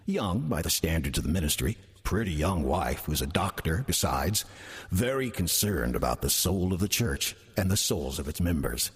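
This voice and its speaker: An actor playing a sly, ancient demon posing as an old church usher, wry and sardonic, with an American accent, in an audio drama. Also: male voice, low-pitched, very animated, husky, exaggerated voice. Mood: wry confiding. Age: older. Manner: wry, sardonic, deliberate storytelling. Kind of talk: audio drama first-person narration.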